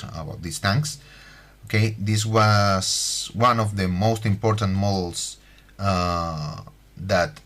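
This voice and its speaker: Spanish-accented male hobby host, low-pitched, slow and halting delivery. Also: a bit bright. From Spain. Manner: halting, many fillers and restarts. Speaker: scale model hobby host. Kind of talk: unboxing tutorial.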